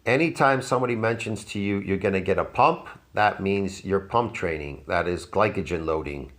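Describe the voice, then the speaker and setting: A man's voice: normal speed, a bit bright. A man in his fifties giving mocking, sarcastic commentary on a fitness clip. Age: 50s. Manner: mocking, sarcastic, jumps in pitch. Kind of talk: reaction commentary.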